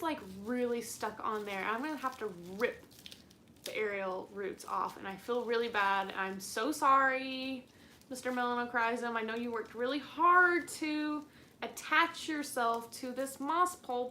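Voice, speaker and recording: A young woman with a slightly high voice chats on a home live stream. She rambles in a bubbly, giggly way, filling her talk with "like". A faint background hiss sits under her voice. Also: pushed and bright. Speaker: plant channel host. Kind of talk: casual live stream chat.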